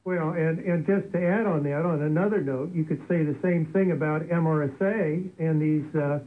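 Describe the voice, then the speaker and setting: Halting higher-voiced male doctor on radio. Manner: halting, many fillers. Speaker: studio guest doctor. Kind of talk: adding to a radio discussion. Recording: lo-fi radio broadcast with faint noise.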